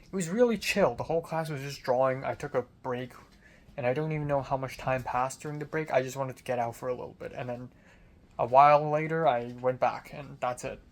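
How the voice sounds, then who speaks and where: A young man records a personal vlog diary with a medium-pitched voice. He talks in a loose, conversational ramble, informal and mildly profane, as a student would when recounting the day. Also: fairly quick. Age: young adult. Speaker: film student vlogger.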